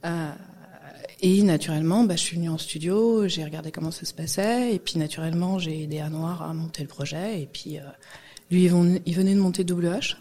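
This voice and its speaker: A woman's voice: on the low side, a little fast, dark tone. A middle-aged woman from Guadeloupe, a music industry guest, talking casually in an interview with many fillers and restarts.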